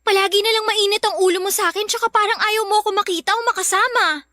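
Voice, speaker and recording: A woman's voice: extremely high pitch, steady tone, fast, pushed and bright, no echo, studio quality. A woman playing a hurt, affectionate younger sister in a Filipino radio drama, pleading earnestly with a Filipino accent. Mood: apologetic, hurt. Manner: plaintive, earnest.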